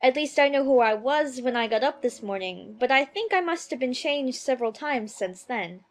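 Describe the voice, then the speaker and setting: A woman's voice: high-pitched, lively intonation, normal speed, soft background music. A woman playing an earnest, puzzled little girl in a dramatized audiobook, with a polite, hesitant, musing manner. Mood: shy, polite. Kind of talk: dramatized audiobook character acting.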